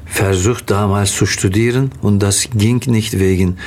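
A middle-aged man from Turkey with a Turkish accent, answering interview questions haltingly and pausing to search for words. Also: fairly low pitch, fairly even intonation, a little mellow. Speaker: interview guest, theatre actor.

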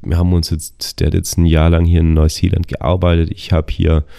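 A man's voice: sits low, monotone, brisk, vocal fry, mellow, dry recording, studio quality. A young man recounting his bicycle trip in a casual, rambling way, with many fillers and restarts while he gets over the flu. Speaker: travel vlogger on a bicycle tour. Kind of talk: casual spoken travel diary.

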